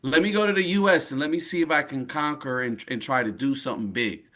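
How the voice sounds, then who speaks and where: A man's voice: mid-range voice, fast talker, sharp tone. A man hosting a podcast interview in a laid-back, encouraging, colloquial way. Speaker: podcast host. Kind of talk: casual interview talk.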